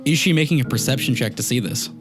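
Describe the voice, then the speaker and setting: A man's voice: medium pitch, fast talker. Casual male roleplayer with music behind. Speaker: tabletop roleplay player. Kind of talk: casual roleplay and game talk. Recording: music under the voice. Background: background music.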